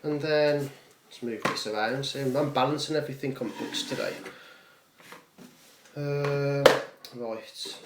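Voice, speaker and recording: A lower-voiced British man talks his way casually through a recipe, wandering into self-deprecating asides. He sounds like a home cook filming himself in a reverberant kitchen, with clear noise of cooking around him. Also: slow, pauses often. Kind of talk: casual, unscripted cooking demonstration. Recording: echoey kitchen with frying, clattering noise.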